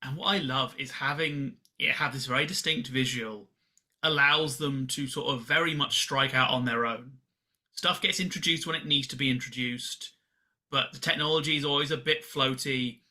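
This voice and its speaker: A man's voice: mid-range voice, steady tone, moderate pace, pushed and bright. A man co-hosting a podcast, giving casual explanatory commentary and speaking directly to listeners. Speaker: podcast co-host.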